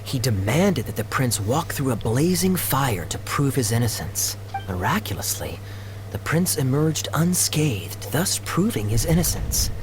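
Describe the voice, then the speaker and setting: An actor voicing a calm, courteous, learned man in a video game, speaking in a measured, articulate way. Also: mid-range voice, over music. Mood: calm, explanatory. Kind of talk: storytelling video-game dialogue. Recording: noisy background ambience behind game dialogue.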